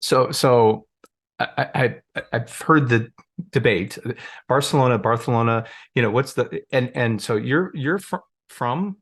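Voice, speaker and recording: A man's voice: medium pitch, a little slow, husky. A man in a playful, musing conversation as a guest on a video call, thinking aloud and joking lightly. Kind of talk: casual conversation.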